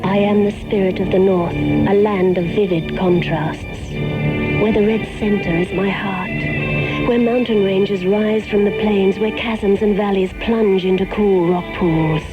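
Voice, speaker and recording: A woman's voice: medium pitch, steady tone, slow pace, close to an everyday voice, low-quality recording. Lady doing an evocative outback nature spirit, poetic and grand, old radio ad with music behind. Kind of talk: poetic radio commercial narration. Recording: old 1970s radio aircheck.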